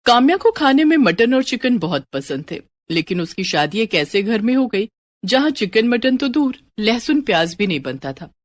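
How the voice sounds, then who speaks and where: Animated woman storyteller. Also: fairly high pitch, moderate pace, no echo, clean studio sound. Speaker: story narrator. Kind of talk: scripted expressive storytelling.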